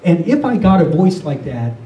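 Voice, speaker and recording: A middle-aged or older man giving a reflective spiritual talk to a group, speaking earnestly and deliberately, often repeating phrases. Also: on the high side, big pitch swings, dark tone. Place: room with a listening group. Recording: roomy, distant mic, faint noise.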